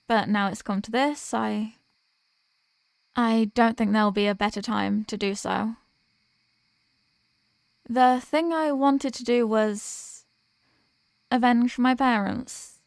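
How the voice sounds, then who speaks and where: A woman acts out a fallen heroine turned villain, full of remorse, in an audio roleplay. Her voice is mid-pitched and recorded very dry. She speaks earnestly and haltingly, pausing between phrases as she confesses to someone she loved. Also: gravelly, clear, resonant tone, dry recording. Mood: hesitant. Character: remorseful female villain, former hero, confessing to lost love.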